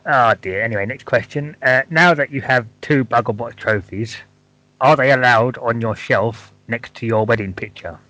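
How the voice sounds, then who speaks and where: A man's voice: mid-range voice, normal speed, pushed and bright, dry recording, muffled recording. A man co-hosting a casual podcast, reading questions with dry, wry understatement. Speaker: podcast co-host.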